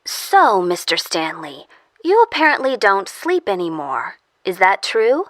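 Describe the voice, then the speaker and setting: A woman's voice: medium pitch, sing-song, measured pace, fairly bright tone. An actress playing a stern female government interrogator in an audio drama, speaking in a formal, curt and insistent way. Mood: probing. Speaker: voice actor. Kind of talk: audio fiction drama dialogue.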